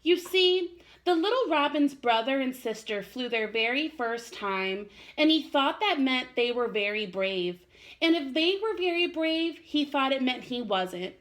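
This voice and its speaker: A woman voicing a sweet, patient mother raccoon who gently explains things in a picture book. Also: high voice, expressive, unhurried, voice pushed up. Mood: patient, explaining. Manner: gentle explaining in storytelling phrases. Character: sweet, patient mother raccoon telling her cub a tale.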